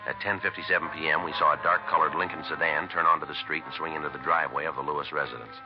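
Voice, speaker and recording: A terse American detective in a vintage radio crime drama. He has an extremely low voice and talks briskly, in clipped, matter-of-fact facts. The recording is muffled, with music clearly present. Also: steady tone. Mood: flat, factual. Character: terse, methodical male police detective sergeant and narrator.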